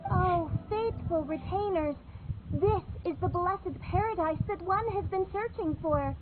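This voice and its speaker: Pompous, melodramatic young gothic princess. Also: female voice, extremely high pitch, a little slow, background noise. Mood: grandiose. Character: theatrical, grandiose young gothic princess girl. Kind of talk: video game voice acting. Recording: thin phone-like sound with music behind.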